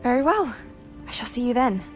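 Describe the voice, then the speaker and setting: A woman's voice: a little high, lively intonation, fairly quick, natural voice. A woman playing a fervent, determined young witch and professor in a dramatic video game scene. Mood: composed, purposeful. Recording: orchestral music behind the voice.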